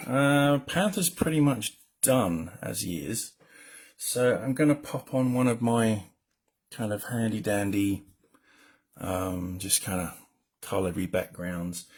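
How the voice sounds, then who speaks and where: Man, low voice, husky, mumbling, rambling, self-deprecating, casual drawing stream. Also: darkish tone. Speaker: comic colorist streaming a drawing. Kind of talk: casual live drawing commentary.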